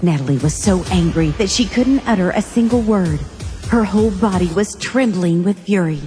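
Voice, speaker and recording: A woman's voice: medium pitch, animated, normal speed, warm tone. A woman narrator reading a scripted audio drama in a dramatic storytelling style. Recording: long reverb, music behind.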